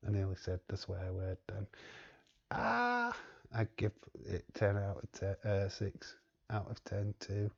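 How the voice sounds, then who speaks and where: A man's voice: lowish voice, lively intonation, unhurried, creaky, highs cut off. A man hosting a live watch-along stream, chatting casually and reading the chat aloud in a rambling way. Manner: rambling, reads chat aloud, chuckles often.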